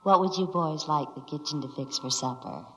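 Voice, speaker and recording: A woman's voice: low-pitched, normal speed, lightly acted. An actress playing a motherly, besotted spaceship robot in a 1950s science fiction radio drama, courteous and doting. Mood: serene, attentive. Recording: dull old radio recording with noise.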